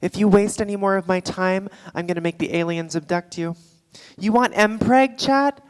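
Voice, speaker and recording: An actress playing an irritable, foul-mouthed, sardonic game streamer in a live staged reading. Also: female voice, mid-range voice, normal speed, natural voice. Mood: exasperated. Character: irritable, foul-mouthed woman video-game livestreamer addressing chat. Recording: echoey theatre hall, live stage.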